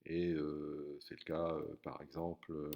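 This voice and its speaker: A man's voice: a bass voice, little pitch movement, unhurried, vocal fry, highs cut off. A man teaching a recorded geography lesson, hesitant, with many fillers and restarts.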